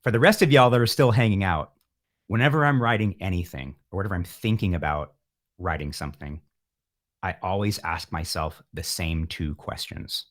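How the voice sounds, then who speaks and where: Male, middle-aged, low voice. Musician in interview, wry and philosophical, thinking out loud. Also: lively intonation, moderate pace. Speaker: musician, podcast guest. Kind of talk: reflective interview talk.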